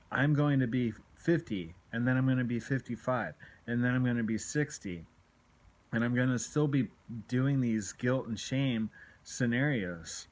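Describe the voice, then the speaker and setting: A man in his late forties talking candidly to camera in an earnest, exhorting, confessional way, often repeating his points for emphasis. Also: mid-range voice. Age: late 40s. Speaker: self-help video blogger. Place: small room at home, indoors. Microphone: phone at arm's length.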